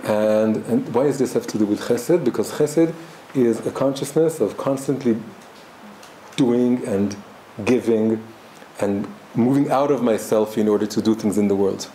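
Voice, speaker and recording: A man teaches in a very dark, mellow voice, speaking haltingly with many restarts as he thinks aloud. The room is noticeably echoey, with a faint hum of background noise. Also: mid-range voice. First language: Hebrew. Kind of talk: reflective spoken class.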